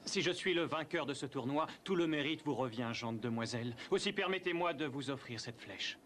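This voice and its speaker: A man's voice: on the high side, brisk, close to an everyday voice. A man voicing a gallant, confident young outlaw hero in a children's cartoon, courteous and self-assured with a teasing edge. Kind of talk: French-dubbed children's cartoon acting.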